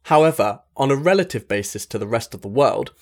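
Man reading an article in measured tones. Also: medium pitch, animated. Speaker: article reader. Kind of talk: scripted narration, magazine article read aloud.

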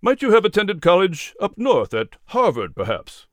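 A man's voice: sits high, wildly swooping pitch, moderate pace, no echo. Man playing formal, swooping colonial examiner. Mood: probing. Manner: formal, probing. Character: stern, skeptical colonial gentleman examining a candidate. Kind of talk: audiobook character acting.

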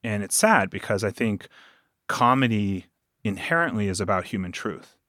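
A man's voice: fairly low pitch, animated, normal speed, sharp tone, dry recording, studio quality. A man speaking earnestly and thoughtfully as a guest in a conversational interview. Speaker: interview guest, audio drama producer.

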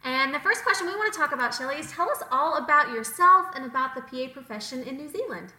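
A woman's voice: high-pitched, expressive, fast, bright, forceful tone. A woman co-hosting a podcast, interviewing a guest with enthusiastic, encouraging remarks. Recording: roomy echoey sound.

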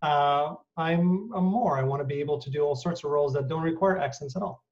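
A man's voice: fairly high pitch, a little fast, a little mellow. Hesitant man, distant and muffled. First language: Russian. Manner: hesitant, many ums and uhs. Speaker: interview host. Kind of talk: interview questions. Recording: dull, distant mic.

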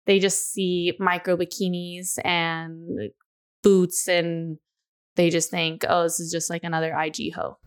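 Woman, twenties, podcast co-host, smooth clear voice, candid, chatty. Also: lowish voice, level tone. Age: 20s. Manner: candid, confessional, drawn-out thoughts with frequent "you know". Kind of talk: casual podcast chat.

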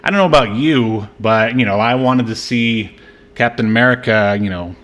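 Male podcast co-host, mid-range voice, easygoing film chat, halting delivery with dry asides. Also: mid-range voice, a bit bright. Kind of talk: casual film-review chat.

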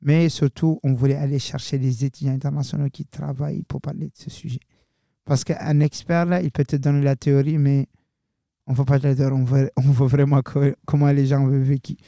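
A man's voice: mid-range voice, flat delivery, fairly quick, gravelly, dark tone, no room sound, studio quality. A young man hosting a student radio show, talking loosely and casually and slipping in English words. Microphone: studio microphone.

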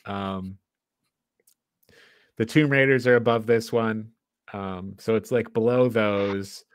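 A man's voice: fairly low pitch, steady tone, slow, clear, resonant tone, no echo. A man hosting a podcast, talking casually as he reviews a film. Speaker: podcast host.

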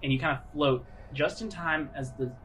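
A man working as game master, narrating scenes in a casual, halting, conversational way. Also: a little high, fairly bright tone, slight background noise. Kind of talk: tabletop roleplay narration. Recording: music under the voice.

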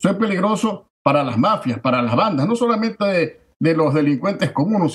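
A man, a political candidate giving forceful, declamatory campaign answers that stack repeated phrases for emphasis. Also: a little high. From Ecuador.

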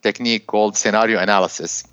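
A man in his fifties from Lebanon, a guest telling his career story in a measured, thoughtful way with many fillers. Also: on the low side, steady tone, fairly bright tone, dry recording. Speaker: guest, hedge fund founder. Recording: dull, lo-fi sound.

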